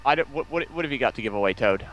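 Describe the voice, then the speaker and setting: A man's voice: mid-range voice, animated, rapid-fire, a bit bright, low-quality recording. A man chatting casually as a guest on a gaming livestream, often repeating words as he gets sentences going. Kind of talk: casual banter and chat.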